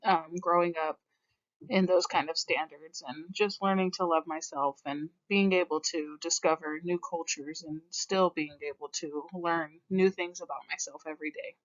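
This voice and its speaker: A woman's voice: low-pitched, fairly even intonation, normal speed. A young American woman talking candidly about her own life in a reflective monologue, full of casual filler phrases. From United States. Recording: slightly dull lo-fi sound.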